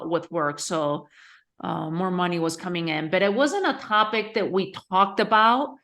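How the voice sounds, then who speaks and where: A woman from Spain, a guest on a podcast, answering thoughtfully with pauses and self-corrections. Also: on the low side, fairly bright tone. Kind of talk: podcast interview.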